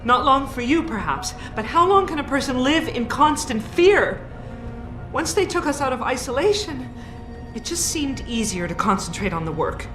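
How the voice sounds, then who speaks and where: An actress playing an earnest, defensive female research scientist in a video game, articulate and measured. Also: sits high, sing-song. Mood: uneasy, reflective. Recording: echoey room, music underneath.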